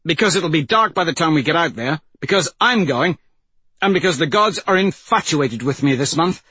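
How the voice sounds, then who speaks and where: A man voicing a practical male warrior in an audiobook, brisk, matter-of-fact and wry. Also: a little high, expressive, bright, forceful tone, slightly rough, dry recording, pitched above the performer's own voice, exaggerated voice.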